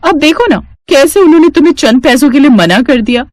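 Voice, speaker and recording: A woman's voice: high voice, animated, brisk, a little mellow, dry recording, studio quality, pitched above the performer's own voice. A woman voicing a smug, boastful young working daughter-in-law in a story, sugary to elders and condescending. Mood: mocking.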